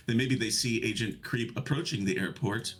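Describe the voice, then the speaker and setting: A man with a somewhat low voice running a tabletop role-playing game. He narrates scenes and prompts his players in an easygoing, chatty way, often breaking into laughter. Faint music plays in the background. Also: moderate pace. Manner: loose and chatty, laughs easily. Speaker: game master.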